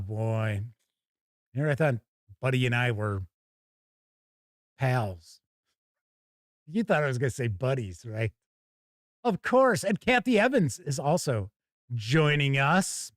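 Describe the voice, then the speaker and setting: A man's voice: mid-range voice, no room sound, studio quality. A man hosting a live tabletop gaming show, chatting casually and reading news with a folksy, wry manner. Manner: folksy, wry, jokey asides.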